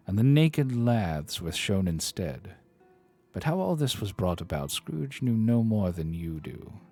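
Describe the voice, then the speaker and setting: A man's voice: deep voice, dark tone. A man reading a classic novel aloud on a live stream, narrating dramatically with self-deprecating asides. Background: faint study music.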